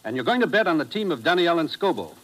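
An actor playing a brisk, authoritative American intelligence commissioner in a 1950s radio drama. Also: male voice, fairly high pitch, big pitch swings, a bit bright, rough recording. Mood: businesslike. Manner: clipped, authoritative.

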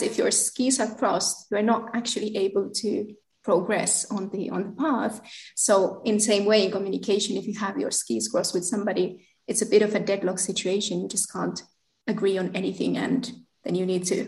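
A woman in her thirties with a Finnish accent, answering as a podcast guest in a measured, explanatory way. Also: medium pitch, steady tone, vocal fry, a little mellow. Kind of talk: interview answers. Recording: echoey room with faint music.

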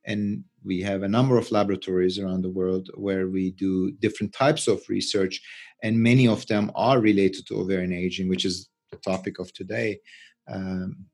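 A middle-aged man with a Turkish accent, giving unscripted interview answers as a scientist, halting and often repeating words. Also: deep voice, fairly even intonation, a little mellow, breathy edge. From Turkey. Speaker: interview guest, research scientist and physician.

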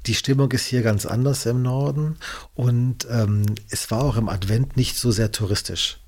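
Gravelly man in his fifties, chatty. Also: mid-range voice, steady tone, moderate pace, a little mellow. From Cologne area, Germany. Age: 50s. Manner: rambling, friendly, slips and corrects himself. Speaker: guest. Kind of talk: casual interview chat.

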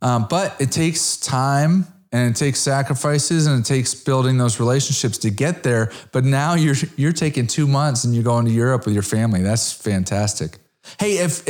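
A man's voice: medium pitch, moderate pace, darkish tone. A man in his fifties hosting a casual interview as a podcast host.

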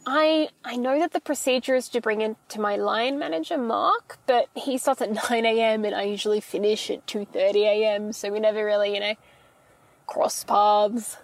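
A woman's voice: medium pitch, normal speed, no echo, lightly acted. A woman playing an anxious, over-polite young grocery store employee in an audio drama, rambling hesitantly and stammering as she corrects herself. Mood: uneasy, rambling. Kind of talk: naturalistic audio fiction monologue, like a voicemail.

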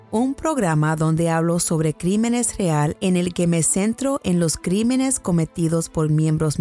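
A woman's voice: fairly low pitch, mellow. A woman with an American English accent hosting a true crime podcast, reading her script in Spanish in a careful, earnest way. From United States. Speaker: podcast host.

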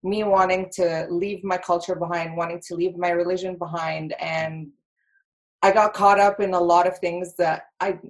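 A woman's voice: on the low side, little pitch movement, normal speed. A woman in her thirties from Egypt, a podcast guest talking chattily with lots of laughs and fillers. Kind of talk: casual interview chat.

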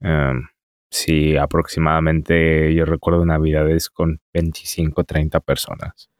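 Man, 30s, Mexican accent, very low voice, slow, measured, dry sound. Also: monotone, no room sound. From Mexico City, Mexico. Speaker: interview guest.

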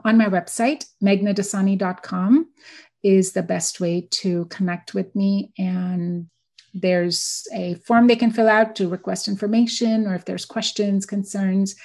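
This is a woman who speaks as a dentist guest on an interview. She explains patiently and often leans on little quoted examples. Her voice sits in an ordinary middle pitch. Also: gravelly, a little mellow. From India. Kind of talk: casual interview answers.

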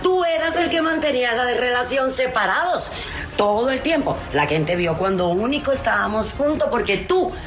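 A woman's voice: on the high side, expressive, normal speed, echoey room. A woman in a played clip, speaking indignantly and making accusations about a past relationship. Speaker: celebrity in an interview clip. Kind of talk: heated personal statement.

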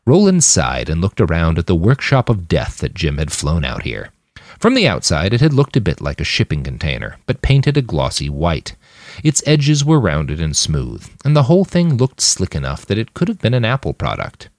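A man's voice: sits low, huge pitch swings, normal speed, a little mellow, no room sound, clean studio sound. A man reading scripted fiction as an audiobook-style narrator. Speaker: author reading his own fiction podcast.

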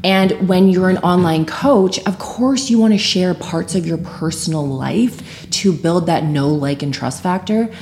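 A woman co-hosting a podcast about online coaching, explaining points in a clear, confident way. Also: on the low side, measured pace, hardly any pauses, a little mellow. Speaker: podcast co-host. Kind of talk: casual podcast talk.

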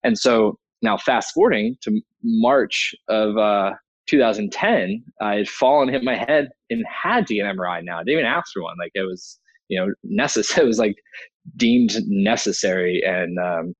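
A man's voice: fairly low pitch, expressive, moderate pace, no echo. An American man in his thirties telling a personal story as an interview guest in a conversational way, acting out quoted dialogue. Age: 30s. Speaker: interview guest, BMX athlete. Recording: dull, lo-fi audio.

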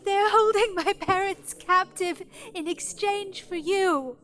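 A woman's voice: extremely high pitch, takes it slowly, crowd noise. An actress playing an earnest, determined young woman in a fantasy audio drama, speaking in a precise, formal, insistent way. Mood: distraught.

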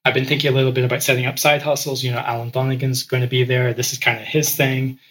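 A man with a bright, forceful voice speaks as a podcast guest. He grew up in Ecuador and tells his story casually, stumbling into small repeats as he goes. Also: medium pitch, little pitch movement, fast talker. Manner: frequent word repeats and restarts. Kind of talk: conversational interview storytelling.

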